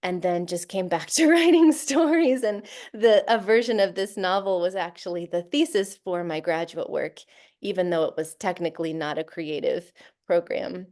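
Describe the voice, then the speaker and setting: Woman author guest, lower pitch, relaxed thoughtful talk with fillers. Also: moderate pace. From Central Florida, USA. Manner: thoughtful, many fillers, light laughs. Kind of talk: casual interview talk.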